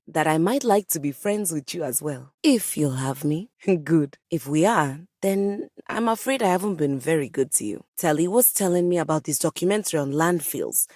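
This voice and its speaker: A woman's voice: lowish voice, a little mellow, no echo, studio quality. A woman voicing a personified piano in a video game, a pompous and socially awkward composer who speaks in formal, melodramatic diction. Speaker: video game character voice actor. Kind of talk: theatrical video game character dialogue.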